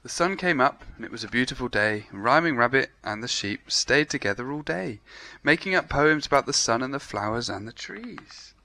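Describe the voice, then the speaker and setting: Male storybook reader, bright clear-cut tone, measured narration for young children. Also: medium pitch, lively intonation. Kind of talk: children's story read aloud.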